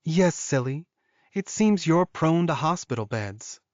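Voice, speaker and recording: A man voicing a bright, friendly young female wolf-shifter in an audiobook, breezy, informal and teasing. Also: on the high side, expressive, unhurried, no room sound, highs cut off, pitched above the performer's own voice.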